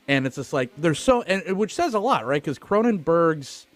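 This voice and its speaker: A man's voice: on the high side, big pitch swings, a little slow. A man hosting a film podcast in casual chat, swearing freely and joking crudely.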